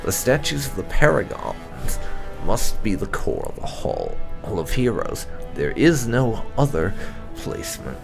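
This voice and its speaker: A man reading fantasy game documents aloud for a playthrough, in a measured, deliberate and formal storytelling manner. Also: sits low, creaky. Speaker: gaming YouTuber reading in-game texts aloud.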